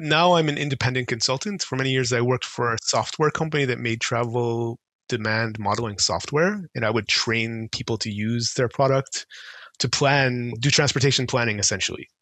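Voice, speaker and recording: Guest: middle-aged man from israel, relaxed, thoughtful, headset mic, dry sound. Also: medium pitch, no echo. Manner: relaxed, thoughtful, many fillers and restarts. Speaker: guest, transportation consultant. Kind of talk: casual podcast chat. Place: home office with bookshelves. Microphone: headset mic on video call.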